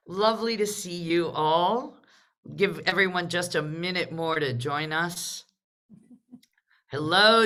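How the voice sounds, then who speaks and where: Bright-voiced woman hosting a live discussion. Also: lowish voice. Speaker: host of a live theatre discussion. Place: video call.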